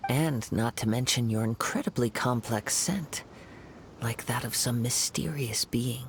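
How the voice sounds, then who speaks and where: A man voicing a calm, courteous young wandering samurai in a video game, speaking in a formal, measured, lyrical way. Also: medium pitch, slight background noise, lightly acted. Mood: reflective, intrigued. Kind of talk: video game character acting. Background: soft game music.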